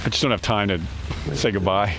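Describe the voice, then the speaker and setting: A man's voice: fairly low pitch, a little fast, noisy background. A man giving mocking radio commentary, sarcastic and teasing.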